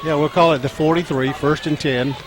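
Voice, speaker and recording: Scratchy-voiced small-town football announcer. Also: male voice, on the high side, steady tone. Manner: halting, frequent fillers, rises when plays break open. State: scratchy, strained voice. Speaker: play-by-play announcer. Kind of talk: local high school football commentary. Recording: crowd and music under the voice. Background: crowd and band music.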